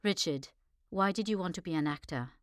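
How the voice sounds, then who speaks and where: A woman's voice: on the low side, brisk, dry recording, clean studio sound. A woman hosting an interview, putting short prepared questions to her guests. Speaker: interviewer, theatre company artistic director.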